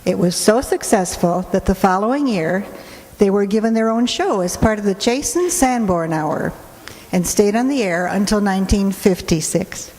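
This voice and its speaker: A woman's voice: medium pitch, expressive, moderate pace, darkish tone. A woman presenter reading a scripted introduction to a radio play. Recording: echoey hall, long reverb.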